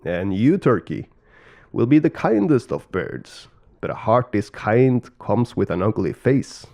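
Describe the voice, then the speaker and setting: An emphatic father god of creation from a storybook, acted by a man, loving but easily exasperated. His mellow voice moves freely in pitch and creaks. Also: sing-song, a little slow, exaggerated voice. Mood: solemn.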